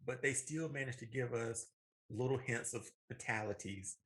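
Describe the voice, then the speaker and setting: American man, 30s, movie show co-host. Bright, punchy voice, emphatic opinions, casual and enthusiastic delivery. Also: mid-range voice, moderate pace.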